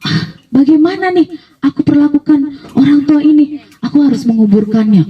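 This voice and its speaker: A woman's voice: sits high, normal speed, voice pushed up, close to an everyday voice. A woman voicing an earnest, respectful young man in a told tale, hesitant and politely questioning. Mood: bewildered. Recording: dull, distant microphone pickup.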